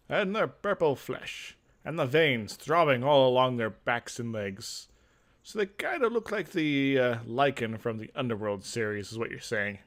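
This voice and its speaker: A man hosting a gaming video, reading a fantasy game aloud theatrically and dropping into casual asides. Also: medium pitch. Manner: theatrical storytelling broken by offhand asides. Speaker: gaming video host and narrator.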